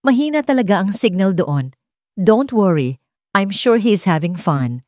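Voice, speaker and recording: A Filipino-accented woman voicing a calm, evasive wealthy wife in an audio story, reassuring smoothly in mixed English and Tagalog. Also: mid-range voice, measured pace, dry recording, studio quality. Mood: dismissive. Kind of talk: audio story character dialogue.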